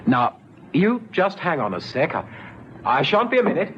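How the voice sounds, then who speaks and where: An actor playing a gentle, anxious police constable in a vintage radio drama, hesitant and careful. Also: male voice, on the high side, sing-song, moderate pace. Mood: anxious, coaxing. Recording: dull vintage radio recording, faint noise.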